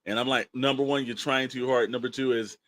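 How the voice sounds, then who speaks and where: A man's voice: medium pitch, steady tone, fairly quick, fairly bright tone. A man hosting a casual podcast, giving opinions in a rambling, emphatic, exasperated way with storytelling and quoted asides. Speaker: podcast host.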